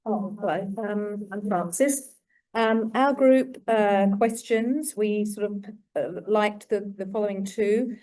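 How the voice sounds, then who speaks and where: A woman giving an informal group report at a conference, halting and searching for her words as she goes. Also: medium pitch, dark tone, low-quality recording. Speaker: conference participant reporting back from a discussion group. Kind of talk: informal spoken summary to a plenary. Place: conference hall, live talk.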